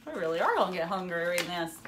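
A woman in her thirties giving an animated, dramatic picture-book read-aloud with teacherly asides in a room at home. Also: lowish voice, a little hiss or hum.

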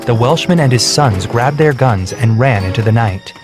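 A man reading a children's storybook, clear and measured. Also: mid-range voice, expressive. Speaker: narrator. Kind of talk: scripted storybook narration. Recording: soft background music.

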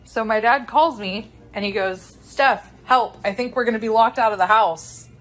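A woman's voice: on the high side, normal speed, music in the background, rough recording. A woman telling a casual, comic story over a phone video.

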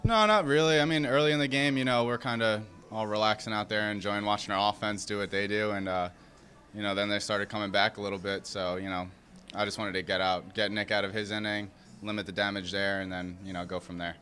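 Brief: young male pitcher, lowish bright tone, easygoing, handheld mic. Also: steady tone, fairly quick. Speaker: relief pitcher. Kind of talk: post-game interview. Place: indoors in front of a sponsor backdrop. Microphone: handheld interviewer mic.

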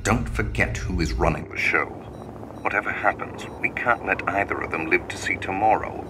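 Male actor as cold game mastermind. Deep, controlled, clipped orders. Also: moderate pace, over music, close to an everyday voice. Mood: cold, commanding. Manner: terse, commanding, controlled. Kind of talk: video game cutscene acting.

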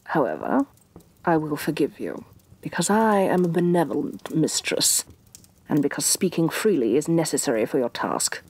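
A woman playing a haughty, domineering evil sorceress queen, soft-spoken and imperious in a fantasy ASMR roleplay. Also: low voice, big pitch swings, moderate pace, husky, full character voice. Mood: haughty, condescending. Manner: imperious, condescending, theatrically disdainful.

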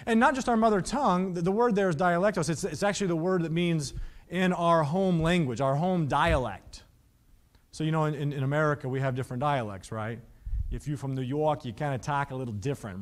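A man's voice: a little high, fairly quick. A man in his thirties preaching a Bible teaching on a studio stage, reading verses aloud and asking the listeners rhetorical questions. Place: studio stage with painted backdrops.

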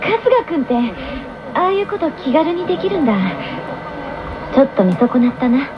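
A woman's voice: high voice, expressive, moderate pace, a crowd in the background. An actress playing a cool, teasing, aloof teenage girl with a dry, offhand manner in a radio drama. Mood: disappointed. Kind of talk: 1990s radio drama acting. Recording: muffled AM radio broadcast.

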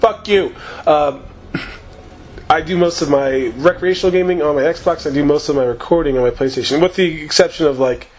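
Profane, rambling gamer man, slightly hoarse. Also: on the high side, normal speed, a little mellow. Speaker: gaming YouTuber. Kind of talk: casual gameplay commentary.

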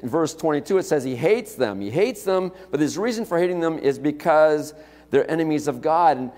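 A man's voice: on the high side, darkish tone. Middle-aged male preacher in a reverberant church. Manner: conversational preaching with frequent "okay?" and "right?" asides. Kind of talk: sermon. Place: church sanctuary, large indoor hall. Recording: long reverb of a church hall.

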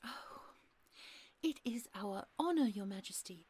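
A woman's voice: medium pitch, expressive, unhurried, a little mellow, breathy edge. A woman playing a sweet, genteel young lady in a fantasy audio roleplay, polite, bubbly and easily flustered. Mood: genteel, affectionate.

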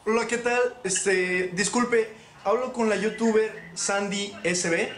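High-voiced young man in a noisy, echoey room. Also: fairly even intonation, takes it slowly. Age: young adult. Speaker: prank caller. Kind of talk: polite, hesitant phone pitch. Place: living room sofa. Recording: echoey room, clearly noisy replayed video.

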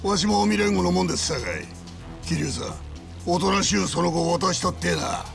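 Taunting Kansai gangster boss, gravelly, swooping pitch, mock-polite menace, game cutscene. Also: male voice, expressive, fairly quick, music in the background. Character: menacing Kansai yakuza boss, sardonic adult man. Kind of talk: dramatic video game cutscene acting.

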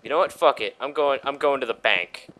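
A man's voice: medium pitch, brisk, bright, forceful tone. A man giving casual, profane, joking let's play commentary with mock exasperation. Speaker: gaming channel host.

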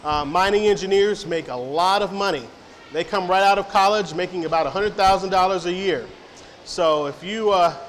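A man's voice: high voice, a bit bright. Middle-aged man, company rep at a public Q&A, chatty and loose, recorded from afar in a reverberant hall with faint noise. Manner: friendly, rambling, many fillers and restarts. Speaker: company representative answering audience questions. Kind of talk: informal public Q&A talk. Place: large hall of a science center, indoors.

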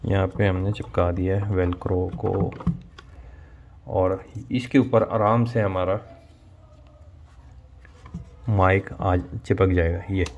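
A man's voice: deep voice, level tone, slow pace, pauses often, darkish tone, muffled recording, slight background noise. A man in his thirties explaining things step by step in a casual tutorial vlog. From India. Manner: explains step by step, addressing viewers. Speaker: motovlogger presenting a product installation. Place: small indoor room at home.

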